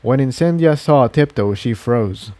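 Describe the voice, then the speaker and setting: A man's voice: mid-range voice, lively intonation, a little slow, a little mellow, no room sound. A man reading a scripted adventure story aloud as its narrator. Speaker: fan fiction narrator.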